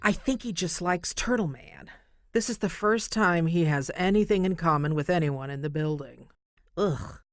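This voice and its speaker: An actress playing a cool, scheming agency boss in an audio comedy, with a dry, sarcastic, controlled delivery. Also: female voice, lowish voice, moderate pace, no echo, close to an everyday voice.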